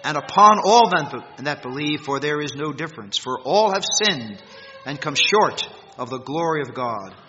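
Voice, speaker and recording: High, bright American preacher in echoey hall. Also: male voice, a little hiss or hum. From United States. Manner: emphatic, rises and drops sharply for stress. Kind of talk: sermon. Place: church meeting hall. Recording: echoey hall, dull lo-fi sound.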